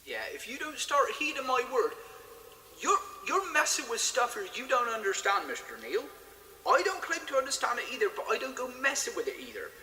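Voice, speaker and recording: Superstitious rustic villager male actor, audio drama: bright, high voice, grudging and admonishing, heard in echoing church reverb. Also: big pitch swings, fairly quick, exaggerated voice. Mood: stern warning. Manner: rustic, plain-spoken, admonishing. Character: superstitious, God-fearing village man, stern and grudging. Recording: echoey reverberant church, faint noise.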